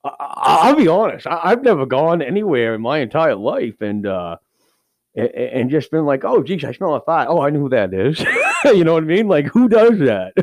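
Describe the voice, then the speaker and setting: A man's voice: a little high, big pitch swings, moderate pace, dry recording, studio quality. A man playing a rambling, cheapskate firefighter who tells crude stories and keeps cracking himself up. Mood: rowdy. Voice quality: crude, giggly, rambling storyteller. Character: rambling, cheapskate middle-aged male firefighter. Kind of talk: improvised character comedy.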